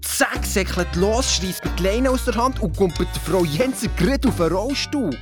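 A woman's voice: mid-range voice, expressive, normal speed, lightly acted. A woman playing Luiz, a grumbling, defensive boy detective, chiming in with a Swiss German accent in a children's radio play. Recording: music playing underneath.

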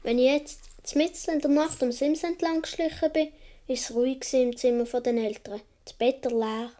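A woman's voice: high voice, steady tone, moderate pace, warm tone, natural voice. A woman with a Swiss accent playing a naive young boy of seven or eight, telling his story in a radio play. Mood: earnest, hushed.

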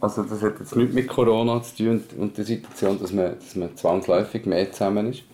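A man's voice: fairly low pitch, fairly even intonation, fairly quick, noisy background. A young man co-hosting a podcast in casual conversation. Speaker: podcast co-host.

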